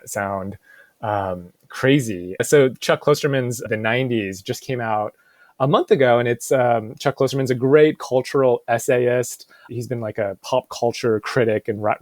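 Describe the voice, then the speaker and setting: Low-voiced American man chatting casually. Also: no room sound. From Seattle suburbs, United States. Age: 30s. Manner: halting, searching for words, frequent "like". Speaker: podcast co-host, bookstore manager. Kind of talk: casual, reflective conversation.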